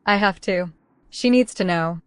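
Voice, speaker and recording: A woman playing a frightened but determined pregnant teenage girl in a dramatic roleplay story, halting and emotional. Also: on the low side, lightly acted. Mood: tentative resolve. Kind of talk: dramatic first-person roleplay story acting and narration. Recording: echoey, long reverb, music underneath.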